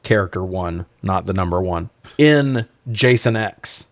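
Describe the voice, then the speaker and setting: A man with a fairly low voice co-hosts a casual review podcast. The sound is dry, with little room around him. He is opinionated and joking, and he swears freely. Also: expressive, unhurried, no echo. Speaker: podcast co-host. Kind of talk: casual film review chat.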